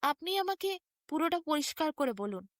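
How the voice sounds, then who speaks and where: A woman's voice: high voice, big pitch swings, moderate pace, a bit bright, no room sound, studio quality. A woman voicing a mild, lonely male merchant who has lost his memory, hesitant and bewildered, in a fairy tale.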